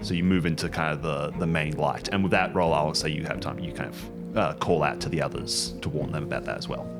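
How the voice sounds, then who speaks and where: A man improvising narration as game master of a tabletop game, in a hesitant, halting way. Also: rumbling low, fairly even intonation, over music.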